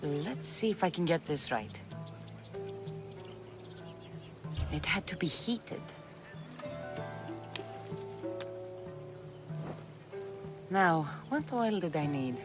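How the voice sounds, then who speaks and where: A woman's voice: on the low side, lively intonation, normal speed, music in the background, close to an everyday voice. An actress playing a blunt, determined Spartan mercenary woman in a video game cutscene. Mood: insistent.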